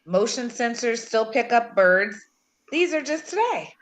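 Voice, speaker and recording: A woman's voice: fairly high pitch, very animated, unhurried. A woman reading the part of an irritable, overworked chief engineer in a script table read, curt and businesslike. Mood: irritated.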